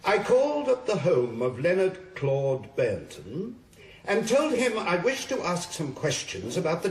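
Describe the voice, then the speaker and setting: An actor playing a sardonic, needling defence barrister who questions formally and insistently in a radio drama. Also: male voice, medium pitch, reverberant, slight background noise. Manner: formal, theatrical, insistent cross-examination with mock courtesy.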